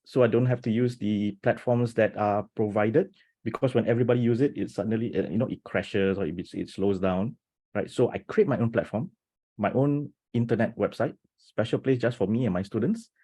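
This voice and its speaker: A teacher on a video call webinar, speaking with quick, animated energy and plenty of restarts. His voice sits low and mellow, with a husky edge. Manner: animated, talks fast with many restarts and rhetorical "right?". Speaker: teacher presenting at an education webinar. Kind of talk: enthusiastic webinar talk.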